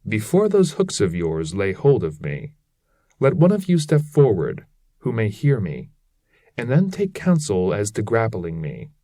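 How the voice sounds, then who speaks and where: A man plays a stern guide from an epic poem, fearless before devils. He declaims slowly and commandingly, with a mellow tone and wide swings in pitch. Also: wildly swooping pitch, no echo, clean studio sound, full character voice.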